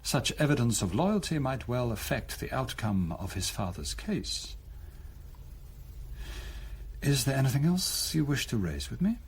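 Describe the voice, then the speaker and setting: A chilling Nazi chief in a radio drama. His husky, mid-pitched voice moves expressively up and down. He is courteous and pompous, with a soft threat under every measured phrase. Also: big pitch swings, heavily acted. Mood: cold threat. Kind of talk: broadcast radio drama acting.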